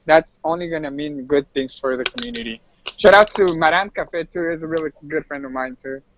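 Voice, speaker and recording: A man's voice: on the high side, expressive, a little fast, muffled recording, a little hiss or hum. A man chatting casually as a podcast guest, slangy and repeating phrases for emphasis. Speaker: podcast guest, fighting game player. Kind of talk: casual chat.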